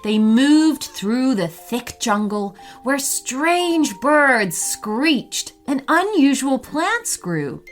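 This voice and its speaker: A woman narrating a bedtime story in an expressive way for young children. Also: sits high, extremely slow, hardly any pauses. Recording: music behind the voice. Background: music.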